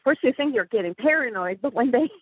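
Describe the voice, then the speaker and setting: A woman's voice: on the high side, expressive, quick pace, slightly rough, no room sound. A woman from Iran with an Iranian accent, a guest telling her story in a rambling way, with a slight lisp from missing front teeth. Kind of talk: casual interview talk. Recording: dull lo-fi call audio.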